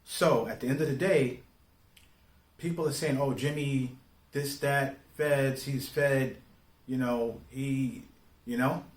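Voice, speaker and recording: A man giving informal opinion commentary in street slang, speaking emphatically and deliberately, often putting rhetorical questions to the listener. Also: medium pitch, steady tone. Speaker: online commentator. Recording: roomy, echoing sound.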